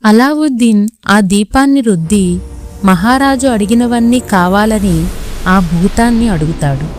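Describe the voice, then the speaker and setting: A woman's voice: medium pitch, a little mellow. A woman reading scripted children's story narration as the storyteller.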